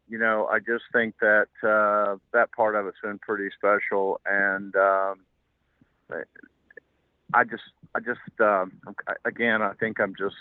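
Hoarse older man on a tinny phone line. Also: lowish voice, dry recording. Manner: halting, hesitant, rambling. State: hoarse from losing his voice. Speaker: guest, racehorse owner. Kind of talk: casual phone interview. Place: car, driving.

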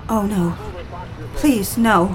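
A woman's voice: mid-range voice, extremely slow, a crowd in the background. A woman playing a tormented, pleading wife haunted by voices in an audio drama. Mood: distressed. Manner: anxious, pleading, repeats herself. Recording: music under the voice.

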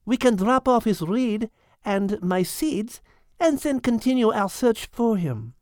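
A man voicing a gentle, caring female cat in a storybook reading, speaking softly and earnestly. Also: high-pitched, sing-song, dry recording, clean studio sound, voice pushed up. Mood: concerned. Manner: soft, slow and earnest, sprinkled with French words.

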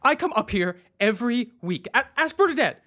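A man's voice: very high voice, big pitch swings, brisk, bright, forceful tone, faint music behind, exaggerated voice. A man playing a frightened, cornered man pleading frantically in a radio drama. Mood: panicked. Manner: frantic, stammering.

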